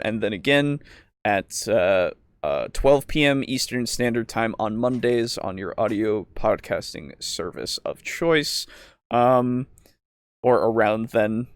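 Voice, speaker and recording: Clear-voiced man hosting a casual podcast. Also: medium pitch, a bit bright, no room sound. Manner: informal, rambling, prone to chuckling. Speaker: podcast host. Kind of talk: casual podcast chat and show sign-off.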